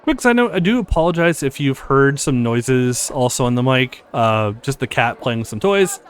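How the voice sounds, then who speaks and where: A man's voice: medium pitch, lively intonation, normal speed, a faint crowd. A man hosting a let's play, reading a visual novel aloud with casual commentary.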